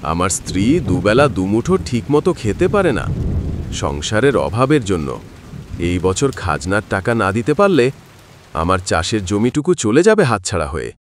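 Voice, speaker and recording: A man's voice: fairly low pitch, a little hiss or hum. A man voicing a poor, humble village woodcutter in a cartoon, speaking earnestly and plaintively. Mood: pleading, humble. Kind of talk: Bengali moral-story cartoon voice acting.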